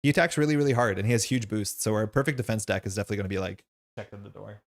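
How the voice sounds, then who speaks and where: A man with a gravelly, fairly low voice hosts a relaxed card-game session, whose first language is Slovenian. He chats in a loose, rambling way, full of asides and fillers. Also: rapid-fire. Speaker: host. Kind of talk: casual chat while playing a card game.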